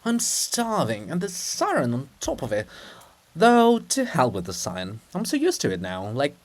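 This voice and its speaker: A man playing a mocking, theatrical bronze prince with big pitch swings. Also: huge pitch swings, moderate pace. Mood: mocking, indignant. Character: bronze monument of a sardonic, nervous male prince. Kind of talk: theatrical dramatic reading of a farce.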